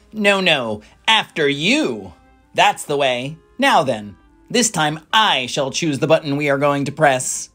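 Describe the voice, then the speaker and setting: Reader playing an eccentric storybook gentleman, gleeful, hurried, exuberant, raised animated pitch, exclamatory. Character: eccentric, cheerful, gleefully hurried man, a chocolate factory owner.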